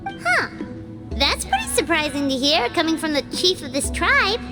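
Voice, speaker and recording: A woman voicing a tiny, perky, childlike fairy companion in a fantasy video game, squeaky, chirpy and curious. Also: huge pitch swings, moderate pace, full character voice. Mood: surprised. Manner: chirpy, exclamatory. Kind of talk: scripted video game dialogue. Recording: game dialogue over clear music.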